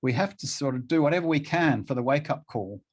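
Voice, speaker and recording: A middle-aged man speaking conversationally as an interview guest, haltingly, often repeating phrases to drive them home. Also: mid-range voice, animated, breathy edge.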